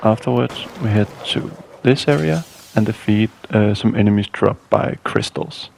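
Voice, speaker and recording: A man with a fairly low, slightly gravelly voice narrates a video game walkthrough. He speaks as a guide reading through prepared steps, with faint music behind him. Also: moderate pace, darkish tone.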